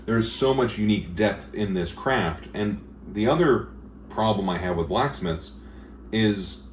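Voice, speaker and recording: A man who teaches blacksmithing, talking in a casual interview with a booming, emphatic way of explaining things at length. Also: animated, measured pace. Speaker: blacksmith instructor. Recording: echoey room with faint noise.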